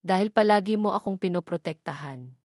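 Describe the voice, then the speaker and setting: A woman's voice: medium pitch, fairly quick, darkish tone, no echo, studio quality, lightly acted. A woman with a Filipino accent voicing a feisty, independent young woman in an audio drama romance. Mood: grateful. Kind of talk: scripted audio drama dialogue.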